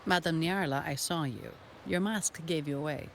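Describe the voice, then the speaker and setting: An actress playing a composed, courteous guide in a video game, polite but frank. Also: female voice, low-pitched, moderate pace, slight background noise. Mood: dry, polite.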